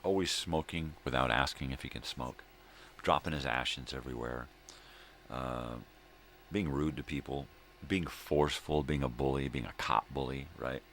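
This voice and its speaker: A middle-aged man with a gravelly voice hosting a casual solo vlog, halting and repeating himself as he grumbles his opinions. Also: extremely low pitch, fairly bright tone. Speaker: host of a daily morning video log. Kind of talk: rambling casual solo chat. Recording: noticeable background noise.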